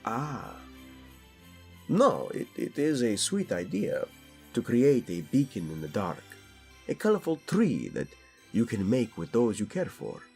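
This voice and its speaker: A man's voice: mid-range voice, huge pitch swings, a little slow, gravelly, darkish tone, faint music behind, heavily acted. A man playing a flirtatious, doting prince and husband in a romantic audio roleplay, speaking intimately and expressively. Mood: bemused, softening.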